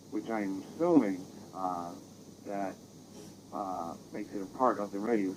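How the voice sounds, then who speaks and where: An American man chatting casually as an amateur radio operator, rambling and halting, heard through a digital radio transmission. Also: on the low side. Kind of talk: casual radio contact chat. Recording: dull, noisy digital radio audio.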